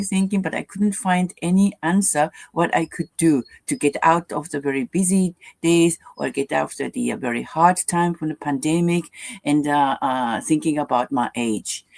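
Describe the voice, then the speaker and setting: A Japanese woman in her fifties, speaking English as a second language, gives a halting personal testimony full of fillers and restarts as a guest speaker. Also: on the low side, creaky, darkish tone, dry recording. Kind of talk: personal testimony at an online live session.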